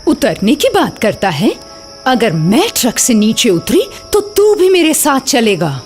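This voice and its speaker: A woman's voice: a little high, wildly swooping pitch, unhurried, a little mellow, faint music behind. A woman voicing a menacing, bossy ghost woman in a cartoon horror story, speaking in a commanding, insistent way. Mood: scornful, warning. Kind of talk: Hindi animated horror story voice acting.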